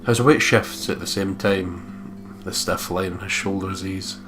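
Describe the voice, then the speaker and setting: Low-voiced man narrating over guitar music. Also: fairly even intonation, measured pace. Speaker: let's play host reading a visual novel aloud. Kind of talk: expressive scripted narration with casual asides. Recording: guitar game music behind voice. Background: acoustic guitar game soundtrack.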